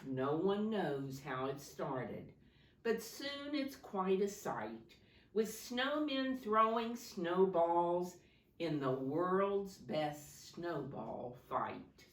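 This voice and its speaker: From a distance in a roomy, echoing space, a woman reads a storybook to small children. Her voice is low but very animated in its rise and fall. She speaks in a sing-song, child-directed way, pointing things out and asking simple questions. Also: extremely slow. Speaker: preschool teacher reading a storybook to children. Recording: roomy echo, distant microphone.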